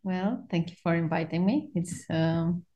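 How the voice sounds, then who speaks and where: A woman speaks with a Romanian accent in a somewhat low-pitched voice. She is a podcast guest, recounting her own experiences in a casual, conversational way. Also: measured pace, warm tone. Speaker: podcast guest, spiritual practitioner. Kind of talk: casual personal storytelling in conversation.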